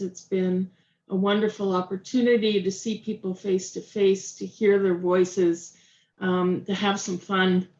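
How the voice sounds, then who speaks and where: Woman, chief medical officer, formal address, warm and thankful, echoey, dull lo-fi sound. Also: mid-range voice. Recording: roomy, dull audio.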